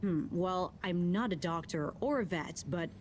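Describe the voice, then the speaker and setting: A woman's voice: on the low side, measured pace, darkish tone, close to an everyday voice. A woman voicing a chatty, caring game character who offers medical advice, with faint noise behind. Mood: concerned. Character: helpful woman advising on medicine.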